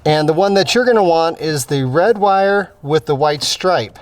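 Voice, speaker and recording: Higher-pitched man giving a hands-on tutorial. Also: animated, measured pace. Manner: plain-spoken, step-by-step explaining. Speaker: host of a vehicle installation how-to. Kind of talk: casual hands-on tutorial narration. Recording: clear background ambient noise. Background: ambient noise.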